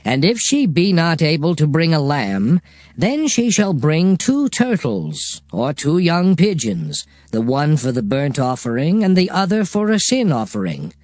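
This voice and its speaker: A man's voice: a little high, huge pitch swings, measured pace, darkish tone, no echo. A man playing the Lord, solemnly declaring law to his people in a declamatory dramatized scripture reading. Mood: solemn, commanding. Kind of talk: dramatized King James Bible reading.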